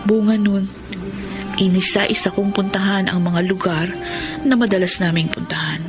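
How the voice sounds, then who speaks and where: Actress as lovelorn Filipino woman, low-pitched. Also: fairly even intonation, normal speed, lightly acted. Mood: sorrowful, longing. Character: lovelorn, sorrowful woman pleading with her former lover. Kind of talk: Philippine radio soap drama acting. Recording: loud music bed under radio drama. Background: sentimental music.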